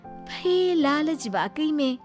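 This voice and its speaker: जीवंत महिला कथावाचक, पीछे संगीत. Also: female voice, sits high, animated, slow, a little mellow. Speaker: narrator. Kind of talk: scripted cartoon story narration. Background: background music.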